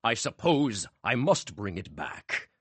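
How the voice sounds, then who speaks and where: A man voicing a showy male stage magician with a grand, drawn-out showman delivery. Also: mid-range voice, sing-song, a bit bright, slightly rough, dry recording, pitched above the performer's own voice, full character voice.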